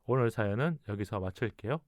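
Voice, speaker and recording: A man hosting a casual advice talk show, speaking calmly and thoughtfully, with a regional Korean dialect and pauses in the middle of his sentences. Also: fairly low pitch. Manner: calm, reflective, pauses mid-sentence. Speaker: podcast host.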